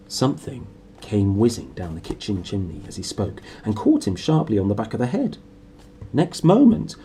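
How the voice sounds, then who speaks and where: A man's voice: mid-range voice, dark tone, a little hiss or hum. A man narrating a storybook aloud in a dramatic, playful way. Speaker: book narrator. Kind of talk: animated storybook read-aloud.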